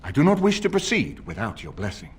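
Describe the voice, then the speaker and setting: An actor playing a grave, middle-aged nobleman in a fantasy game, speaking formally and with measured authority. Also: medium pitch, wildly swooping pitch, breathy edge, heavily acted. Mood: grave, resolute. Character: grave older nobleman lord rallying allies against a usurper. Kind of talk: video game voice acting, dramatic dialogue.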